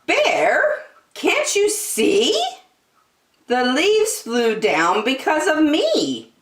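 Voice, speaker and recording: A woman's voice: sits high, wildly swooping pitch, very slow, a bit bright, voice pushed up, heavily acted. A woman voicing a bossy, exasperated female wind in a children's storybook, scolding and insistent in a singsong way. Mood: insistent, correcting. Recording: roomy, echoing sound.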